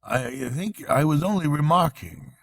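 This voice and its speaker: A man voicing a kindly, thoughtful water rat from a storybook, murmuring in a slow, musing way. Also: a little high, big pitch swings, gravelly, a bit bright, no room sound, clean studio sound, pitched above the performer's own voice, exaggerated voice. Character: thoughtful, dreamy water rat, kindly male animal friend.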